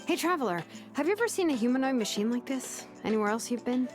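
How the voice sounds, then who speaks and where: A woman's voice: sits high, expressive, quick pace, over music. A woman playing a curious, analytical young tinkerer in a video game, explaining technical things in a friendly way. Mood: helpful, casual. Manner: technical, explanatory, hesitant when simplifying.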